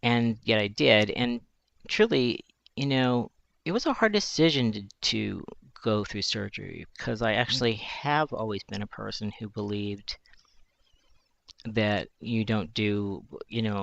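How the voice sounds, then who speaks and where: Soft-spoken man with a high voice. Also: creaky, muffled recording. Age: 40s. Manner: thoughtful, searching, with pauses and restarts. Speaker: journalist. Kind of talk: reflective personal monologue.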